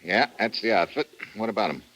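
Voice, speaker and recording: An actor playing a wisecracking, hard-boiled American government troubleshooter in a vintage radio adventure drama, wry, clipped and casual. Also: male voice, on the low side, a little fast, a bit bright. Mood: wry, businesslike. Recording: noisy old radio drama recording.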